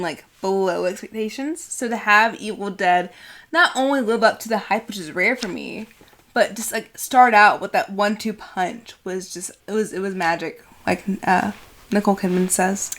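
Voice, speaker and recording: Young woman cohost, chatty and excited, medium pitch. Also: moderate pace. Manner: enthusiastic, chatty. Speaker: podcast co-host. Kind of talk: casual chat.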